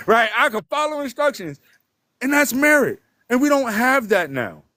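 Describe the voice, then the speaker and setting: A man whose voice sits high for a man, heard close and dry with no room sound. He talks bluntly and emphatically, swearing freely and tagging each point with "right?". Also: very animated, measured pace, pushed and bright, no echo. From Detroit, United States. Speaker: guest. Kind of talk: casual live-stream conversation.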